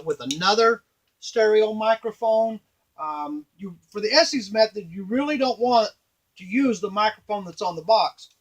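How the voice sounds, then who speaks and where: A middle-aged man giving an informal, halting hands-on tutorial at a workbench, explaining step by step. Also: sits high. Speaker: device maker and demonstrator. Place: small indoor workshop at a workbench. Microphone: camera a few feet away.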